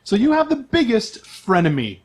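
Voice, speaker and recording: A man's voice: sits high, wildly swooping pitch, moderate pace. A man speaking casually as a game master and podcast host, narrating a tabletop game.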